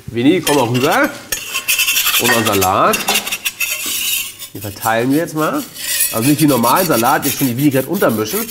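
Cooking show host, man in his thirties, animated pitch, chatty, faint pan clatter behind. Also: fairly quick, long pauses, background noise. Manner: chatty, lively, addresses viewers directly. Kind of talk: casual cooking show chat. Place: studio kitchen set, indoors. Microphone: lapel mic.

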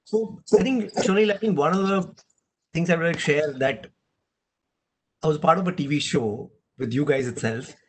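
A middle-aged man moderating a panel on a video call, animated and often adding "right?". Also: fairly high pitch, normal speed, slight background noise, low-quality recording. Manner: animated, gesturing, tag question "right?". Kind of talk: casual panel discussion. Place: room at home with blinds and shelves. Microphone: video call webcam.

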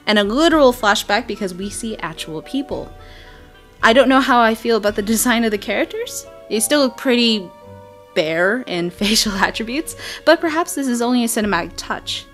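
A woman giving casual, expressive voice-over commentary on a video game, chatty and full of wry asides. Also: on the high side, moderate pace. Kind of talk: casual trailer breakdown commentary. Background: music.

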